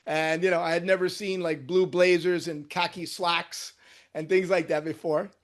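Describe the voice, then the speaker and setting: A man in his sixties, born in Cuba, speaks with a somewhat high voice for a man. He recounts his path into surgery in a relaxed interview, animated one moment and dropping quiet the next. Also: normal speed. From Cuba, raised in New Jersey. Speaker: guest, orthopedic hand surgeon. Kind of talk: casual interview storytelling.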